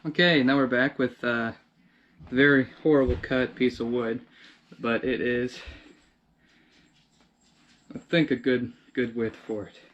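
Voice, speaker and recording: Male DIY vlogger, mid-pitched, informal how-to talk, thinking aloud mid-task, background noise. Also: fairly even intonation, a little slow, long pauses, muffled recording. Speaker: DIY video host. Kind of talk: casual how-to narration while working. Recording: clear background noise with handling clicks.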